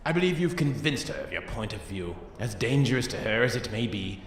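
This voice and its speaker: An actor playing a gentle, sorrowful middle-aged jailer and father, halting and emotional, in an audio drama. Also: on the low side, animated, slight background noise, lightly acted. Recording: echoey room, loud music bed.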